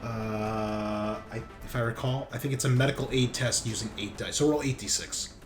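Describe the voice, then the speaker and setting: A man's voice: medium pitch, steady tone, moderate pace, over music. A man running a tabletop roleplaying game as game master, narrating and explaining in a casual, conversational way.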